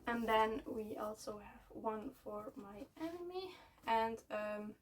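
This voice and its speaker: A young woman from Belgium, a native Dutch speaker, chatting casually at home about books she is selling, pausing often with fillers and restarts. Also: a little high. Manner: halting, many fillers and restarts. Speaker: book vlogger. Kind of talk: casual book unhaul chat. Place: at home, seated. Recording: somewhat distant mic, faint room noise.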